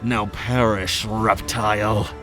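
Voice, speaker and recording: A man's voice: lowish voice, little pitch movement, slow pace, music in the background. A man playing a cruel, arrogant merman prince in a fantasy audio drama, taunting with haughty contempt. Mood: gloating.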